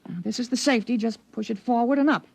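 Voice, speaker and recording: A man's voice: very high voice, fast talker, creaky, heavily acted. A male performer playing a clever, self-assured thirteen-year-old boy in a 1950s radio drama, earnest and articulate. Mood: casual.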